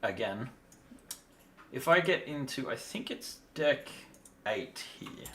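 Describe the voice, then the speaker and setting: A man's voice: medium pitch, expressive, slow pace, sharp tone, a little hiss or hum. A tired man talking casually and in a rambling way as he hosts a livestream demo. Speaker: livestream host, miniature designer.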